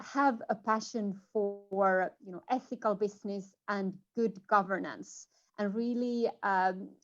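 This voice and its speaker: A woman's voice: medium pitch, highs cut off. Finnish woman presenting over a video call. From Finland. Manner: hesitant, many fillers and restarts. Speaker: conference speaker. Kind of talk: remote conference presentation.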